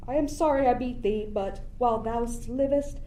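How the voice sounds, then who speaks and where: A student actress playing a bossy, blustering would-be ruler in a Shakespearean radio play. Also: female voice, fairly high pitch, moderate pace, dark tone, slight background noise, close to an everyday voice. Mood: swaggering. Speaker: student actor. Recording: roomy echo with music behind.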